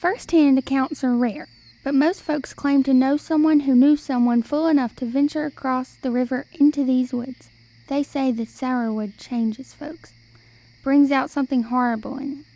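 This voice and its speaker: A high, soft-edged voice for a sly old wandering storyteller woman, acted by a young woman. She speaks in folksy, old-fashioned turns of phrase for an amateur radio play. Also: steady tone, moderate pace, low-quality recording. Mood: ominous.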